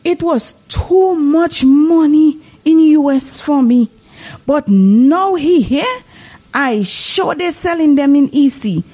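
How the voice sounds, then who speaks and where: A woman acts as an older Dominican village churchgoer in a drama, devout and easily swept up. Her high voice swoops about in slow, gushing exclamations with a Caribbean lilt. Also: lively intonation, warm tone. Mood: joyful, earnest. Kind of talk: dramatised dialogue in Caribbean creole English.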